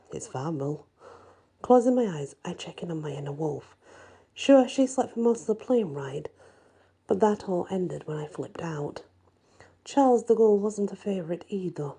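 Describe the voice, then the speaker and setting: A woman reads a first-person fantasy story in an ASMR style, her voice soft, husky and breathy. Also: low voice. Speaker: audiobook-style story reader.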